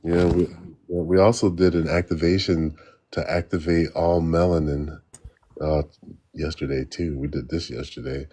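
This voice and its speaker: A man's voice: extremely low pitch, steady tone, normal speed, dark tone, breathy edge. A man hosting a casual spiritual chat, rambling and stammering, often breaking into laughter. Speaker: host.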